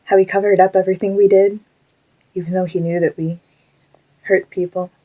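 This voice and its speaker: A woman playing a gentle, troubled animatronic fox who was once human, speaking hesitantly and reflectively in an audio drama. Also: medium pitch, level tone, brisk, lightly acted, rough recording.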